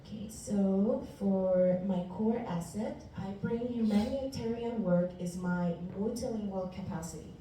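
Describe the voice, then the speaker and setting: In a reverberant room, picked up from some distance with faint noise, a woman in her twenties gives a prepared student talk. She is from Ecuador and speaks Spanish natively. Her voice is very dark and relaxed, and her delivery is earnest and deliberate. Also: mid-range voice. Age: 20s. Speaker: university student presenter. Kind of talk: prepared class presentation.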